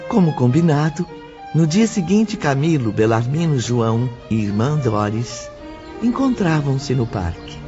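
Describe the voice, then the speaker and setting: A man's voice: medium pitch, big pitch swings, measured pace. A man reading scripted third-person narration for an audio drama. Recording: dull audio with faint music.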